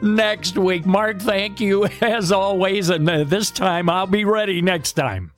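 A man hosting a sports radio show in a measured announcer's manner, moving between chat and scripted introductions. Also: high voice, soft background music.

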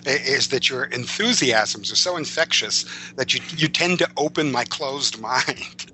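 A man speaking as an interview guest in casual conversation, measured, articulate and courteous. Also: medium pitch, lively intonation. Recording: faint noise and hum.

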